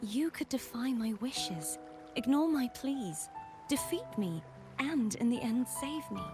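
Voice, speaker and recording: Game role: earnest young maiden, headstrong and formal. High-pitched, measured, set over music. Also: female voice, expressive. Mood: earnest, resolute. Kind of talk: dramatic video game voice acting. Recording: music behind the voice.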